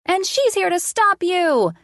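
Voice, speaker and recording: A woman's voice: extremely high pitch, slow, a bit bright, dry recording, clean studio sound, voice pushed up, full character voice. A woman voicing a small, giggly boy at play, defiant and mock-frightened, urgent and shrieking, in a storybook.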